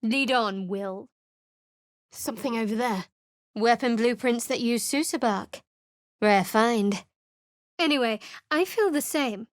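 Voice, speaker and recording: Wary sarcastic cleric from a fantasy game, slightly high voice, super dry close studio sound. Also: female voice, slow, long pauses, no echo. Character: guarded, sardonic young woman cleric adventurer. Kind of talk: video game voice acting.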